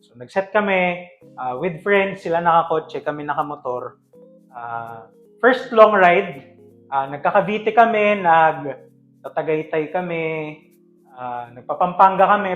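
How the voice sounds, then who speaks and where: A man's voice: a little high, fairly bright tone, dull audio. A man with a Filipino accent telling stories casually as a podcast co-host, mixing in English.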